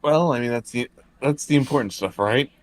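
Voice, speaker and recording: A man's voice: medium pitch, a little fast. A man playing a tabletop roleplaying game, chatting casually and hesitantly as he decides his moves.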